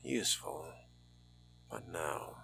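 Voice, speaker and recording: A man voicing a brooding, sardonic vampire fledgling in a game roleplay, theatrical and courtly, often hushed and breathy, with old-fashioned phrasing. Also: very deep voice, very animated, slow, slight background noise, exaggerated voice, rough recording. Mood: hushed, musing. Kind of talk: in-character game roleplay monologue. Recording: music behind the voice.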